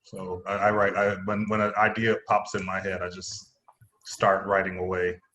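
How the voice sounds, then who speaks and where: Low-voiced man in an echoey room. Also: flat delivery, moderate pace, bright, forceful tone. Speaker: playwright, acting teacher. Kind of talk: casual chat. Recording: roomy, faintly noisy remote call.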